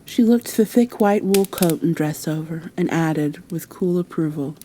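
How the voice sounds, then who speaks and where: Woman, ASMR storyteller, gentle story reading, very mellow tone, slightly low pitch. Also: a little slow, slight background noise, poor audio.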